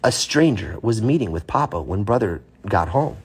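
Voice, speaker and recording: Husky man reading a kids' story aloud. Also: lowish voice, lively intonation, moderate pace. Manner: informal, playful asides to a young listener. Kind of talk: children's story read aloud, with casual asides.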